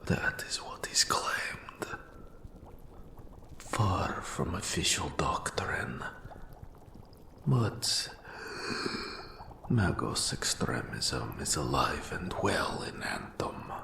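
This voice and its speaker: A man playing an eldritch, melancholy keeper of forbidden lore in an audio drama, speaking formally and deliberately in a breathy, gravelly whisper. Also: extremely low pitch, very animated, bright, forceful tone, reverberant, exaggerated voice. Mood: consoling, solemn. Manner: slow, deliberate, formal, ominous phrasing. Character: eldritch, nameless old archive custodian, formal, patient, melancholy mentor. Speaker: mentor in forbidden magic. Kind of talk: scripted roleplay audio drama.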